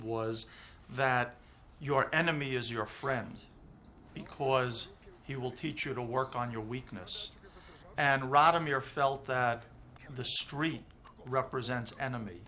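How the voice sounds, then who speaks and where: A man speaking in an interview, measured and deliberate. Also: medium pitch, pushed and bright. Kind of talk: personal interview.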